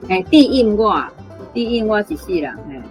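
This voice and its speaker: Female language teacher, Taiwanese accent, mid-pitched, conversational explanations over faint guitar. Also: very animated, moderate pace. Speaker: language teacher giving feedback. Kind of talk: informal explanation. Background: guitar music.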